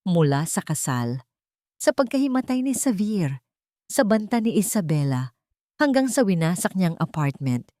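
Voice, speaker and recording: A woman's voice: lowish voice, big pitch swings, measured pace, warm tone, no room sound, studio quality. A woman narrating a scripted dramatic story. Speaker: narrator of love stories.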